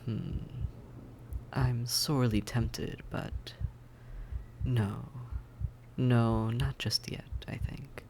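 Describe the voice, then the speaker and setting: A man playing a suave, predatory vampire in an audio roleplay, speaking in deliberate, theatrical phrases with teasing condescension. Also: mid-range voice, fairly even intonation, creaky, darkish tone, in a whisper, rough recording. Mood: playful, seductive. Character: suave, predatory male vampire toying with his prey. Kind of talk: scripted roleplay audio drama monologue.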